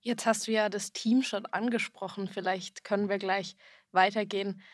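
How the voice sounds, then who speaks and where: A woman co-hosting a podcast interview, asking friendly, clearly phrased questions. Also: medium pitch, fairly even intonation, moderate pace, dry recording, clean studio sound. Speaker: podcast co-host.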